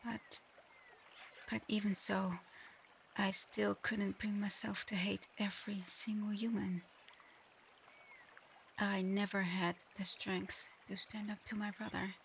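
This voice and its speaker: A gentle shapeshifting dragon in human form, acted out by a woman in an ASMR roleplay. She speaks slowly and softly in an even, restrained tone, halting with regret and often slipping toward a whisper. Faint ambient noise sits behind the voice. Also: mid-range voice. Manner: soft, breathy, often near-whispered, halting.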